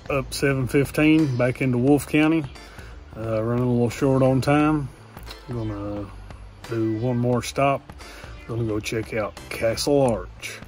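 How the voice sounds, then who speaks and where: Young man narrating a forest hike. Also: mid-range voice. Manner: relaxed, practical, many "uh" fillers. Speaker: hiking vlogger. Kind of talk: casual outdoor narration. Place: forest trail outdoors. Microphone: phone held at arm's length.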